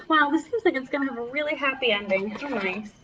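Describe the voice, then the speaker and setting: A woman's voice: high-pitched, fairly quick, lo-fi sound, background noise. A woman children's librarian leading a preschool storytime, speaking to young children in an encouraging, exaggerated way.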